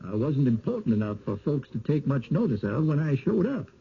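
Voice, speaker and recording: An actor playing a fearful rural village handyman in a radio drama, with a hesitant, folksy rural American drawl and a gravelly, husky voice. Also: mid-range voice, big pitch swings, fairly quick, exaggerated voice. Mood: matter-of-fact. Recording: dull, muffled old radio recording.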